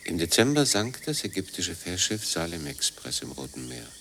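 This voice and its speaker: A man's voice: sits low, very animated, moderate pace, a bit bright, a little hiss or hum, full character voice. An actor playing a pensive, musing man in a German radio play, speaking tersely.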